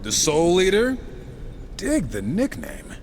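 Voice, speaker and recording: A man's voice: high voice, huge pitch swings, slow pace, noisy background, exaggerated voice. An actor playing a sarcastic, irreverent horseman warrior in a video game, with a flippant, casual, sardonic delivery. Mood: impatient, sardonic. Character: wisecracking, sarcastic, irreverent gunslinging horseman brother. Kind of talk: video game cutscene acting.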